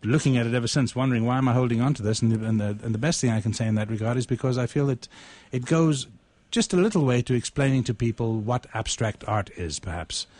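Man radio host, fast and reflective casual talker, repeats words, dry close sound. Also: medium pitch, darkish tone, dry recording. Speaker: radio show host and writer. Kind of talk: casual chat.

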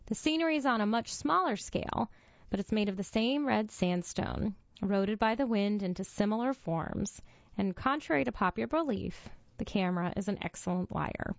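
A woman reading a magazine article aloud for a reading service, in a measured, even narration. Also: mid-range voice, creaky, no room sound. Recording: dull, lo-fi dry studio voice.

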